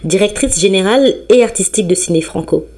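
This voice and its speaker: Woman reporter, reading her radio piece, even and measured. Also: mid-range voice, background noise. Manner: even, scripted delivery. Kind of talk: scripted news report.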